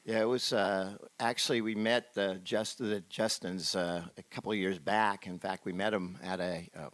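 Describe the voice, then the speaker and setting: Low-voiced easygoing man. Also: fairly even intonation, normal speed, a bit bright, no echo. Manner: easygoing storyteller, laughs often. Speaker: whiskey company owner, guest. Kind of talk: casual podcast chat. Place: whiskey tasting room.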